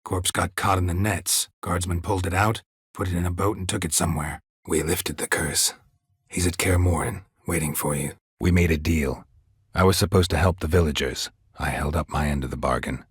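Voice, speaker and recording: Gruff sardonic monster hunter for a game, deep n super raspy, terse deadpan lines. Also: male voice, normal speed, no room sound, clean studio sound. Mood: deadpan, businesslike. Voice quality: low, gravelly rasp. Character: gruff, stoic male monster hunter, world-weary and sardonic. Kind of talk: video game character dialogue.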